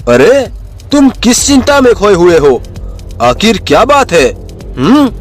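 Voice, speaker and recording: A man's voice: sits high, very animated, unhurried, soft background music, full character voice. A man voicing a brave young male lion in an animal story, speaking in a declamatory, commanding way. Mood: concerned, assured. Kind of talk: Hindi animal storybook voice acting.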